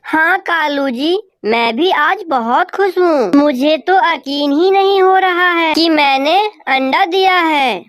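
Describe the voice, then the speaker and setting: A woman voicing a gentle, soft-hearted female crow wife in a children's cartoon, speaking respectfully and pleadingly. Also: high voice, slow, hardly any pauses, no echo, studio quality. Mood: delighted. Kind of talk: Hindi children's cartoon dialogue.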